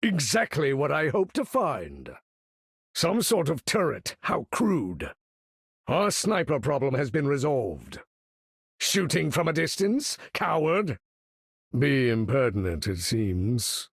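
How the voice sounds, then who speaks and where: A man's voice: medium pitch, huge pitch swings, takes it slowly, breathy edge, no echo, studio quality, exaggerated voice. A man voicing an imperious older supervillain in a video game, commanding battle with pompous, theatrical, formal diction. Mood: haughty, commanding.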